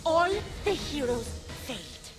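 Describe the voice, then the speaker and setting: Casting: insecure girlish goddess in a video game, slow, plaintive, swinging pitch, music behind. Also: very animated. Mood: zealous. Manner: hesitant, plaintive outbursts. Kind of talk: animated video game acting.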